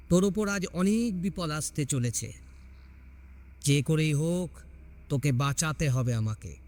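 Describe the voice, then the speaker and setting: A man's voice: fairly high pitch, darkish tone. A man voicing a grave, resolute holy ascetic with a firm, portentous delivery in an audio story. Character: grave, resolute male holy ascetic, all-knowing and protective.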